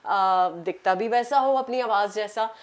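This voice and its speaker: A woman's voice: medium pitch, measured pace. A woman with a Pakistani accent, a radio broadcaster chatting as an interview guest and switching often into English. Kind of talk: conversational interview answers, mixing in English.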